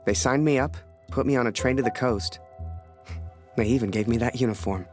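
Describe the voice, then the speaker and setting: A man's voice: medium pitch, brisk, close to an everyday voice. An actor playing a wistful young soldier-photographer who narrates his memories in a video game. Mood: reflective. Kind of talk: first-person game narration. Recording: music behind the voice.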